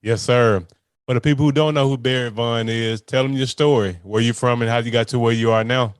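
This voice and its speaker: A man's voice: mid-range voice, fairly even intonation, a little fast, clean tone, dry recording. A man hosting a podcast, talking in an upbeat, slangy, conversational way. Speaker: podcast host. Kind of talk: casual podcast talk.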